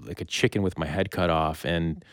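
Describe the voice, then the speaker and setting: Man, deepish voice, casual podcast-style interviewer, halting phrasing, dry close sound. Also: steady tone, clear, resonant tone, dry recording. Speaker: interview host.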